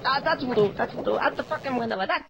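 A man's voice: very high-pitched, fast talker, vocal fry. A man voicing a cowering, foul-mouthed weasel scientist in a video game, stammering and grumbling with a German accent. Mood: flustered.

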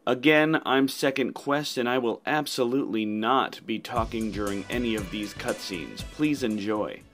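A man's voice: mid-range voice, normal speed, over music. A man hosting a casual video game playthrough commentary. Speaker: gaming channel host.

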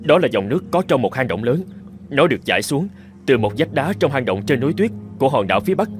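A fast-talking male cartoon chieftain, a schemer on the run, acted by a man. His medium-pitched voice has a dramatic fairy-tale delivery. Music plays clearly behind him. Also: very animated, lightly acted. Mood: feigned pleading.

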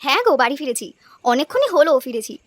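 A woman's voice: sits high, big pitch swings, rapid-fire, a bit bright, slight background noise. A woman voicing a hardworking, cheerful young village wife in a cartoon, eager and affectionate. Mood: reassuring. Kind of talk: cartoon dubbing.

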